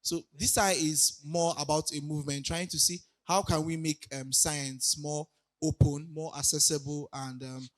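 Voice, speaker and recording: A young man speaks at a conference, his voice raspy as he recovers from illness. He sits a little higher than most men and stops often to hesitate or restart a thought. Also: steady tone, moderate pace. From Nigeria. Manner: hesitant, many ums and restarts, laughs lightly. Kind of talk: casual conference talk. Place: conference hall.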